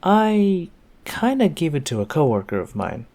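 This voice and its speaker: A man's voice: on the high side, big pitch swings, moderate pace, mellow. A man playing an affectionate, easygoing boyfriend in an intimate roleplay audio, talking tenderly and casually straight to the listener. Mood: warm, casual. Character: affectionate easygoing boyfriend home from work on a snowy night.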